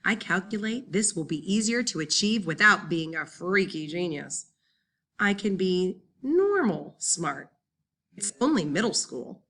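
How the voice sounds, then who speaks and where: Casting: female audiobook reader, forward bright tone, slightly low register, dramatic first-person delivery for young readers' fiction. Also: sing-song, unhurried. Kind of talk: expressive first-person children's novel narration.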